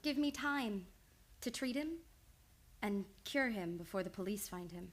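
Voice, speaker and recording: A woman's voice: medium pitch, expressive, unhurried. A woman playing an earnest, devoted analyst in a radio drama, insistent and pleading in urgent, emphatic phrases. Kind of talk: old-time radio drama acting.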